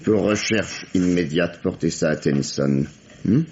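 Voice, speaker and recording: An actor playing a sardonic, authoritative middle-aged police inspector questioning a suspect in a 1950s-style French radio drama. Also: male voice, low voice, measured pace, darkish tone, slight background noise. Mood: brusque. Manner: authoritative, sarcastic, theatrical. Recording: dull, lo-fi vintage radio recording.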